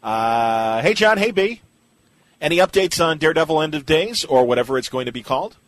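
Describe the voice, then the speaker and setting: A man's voice: a little high, animated, a little fast. A man hosting a casual interview podcast, chatting and putting listener questions to his guest. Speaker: podcast host.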